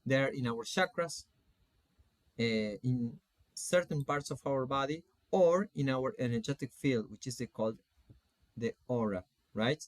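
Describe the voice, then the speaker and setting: A man in his forties from Argentina with an Argentine Spanish accent gives an informal online lecture as a coach, phrasing things in a halting, deliberate way. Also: mid-range voice, animated, dry recording. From Salta, Argentina. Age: 40s. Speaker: spiritual coach teaching an online course.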